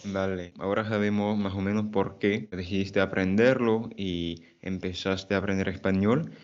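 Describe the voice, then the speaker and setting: A man in his thirties from France hosting a friendly interview over a video call, asking questions slowly and clearly. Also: low voice, fairly even intonation, a little mellow. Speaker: host of a language channel. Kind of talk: conversational interview. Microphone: earphone headset on a video call. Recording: dull video call audio.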